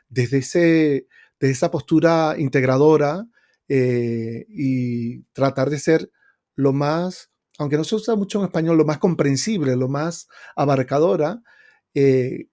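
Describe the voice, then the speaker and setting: A man's voice: medium pitch, dark tone. A man from Venezuela with a Venezuelan accent, answering interview questions thoughtfully with many fillers and restarts. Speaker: guest researcher.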